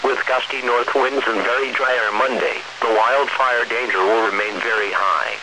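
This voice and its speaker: A man's automated voice reading scripted weather forecasts in a measured, impersonal way over weather radio. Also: medium pitch, steady tone. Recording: noisy hissy weather radio broadcast.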